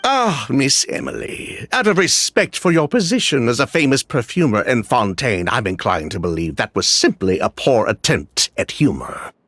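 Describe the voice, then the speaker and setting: An actor playing a slippery merchant swindler in a video game, mock-courteous and theatrical. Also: male voice, mid-range voice, sing-song, normal speed, hardly any pauses, a bit bright. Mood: veiled threat. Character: slippery male merchant swindler, falsely polite, smug.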